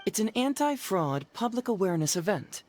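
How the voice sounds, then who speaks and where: Light-voiced, breezy young detective. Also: male voice, sits high, big pitch swings, a little fast, a little mellow, slight background noise. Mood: earnest, explanatory. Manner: easygoing, articulate, explanatory. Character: breezy, clever, earnest young male detective. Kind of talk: video game dialogue acting.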